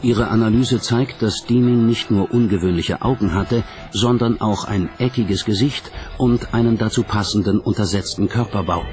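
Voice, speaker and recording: Male narrator, low voice, scripted documentary delivery, prominent music underneath. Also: a little mellow.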